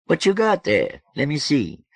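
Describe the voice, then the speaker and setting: A man voicing a loud, slangy, big-hearted, boisterous man in an audiobook, with emphatic folksy delivery. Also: fairly high pitch, wildly swooping pitch, a little slow, gravelly, dry recording, pitched above the performer's own voice, full character voice. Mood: boisterous, teasing. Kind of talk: audiobook character voice.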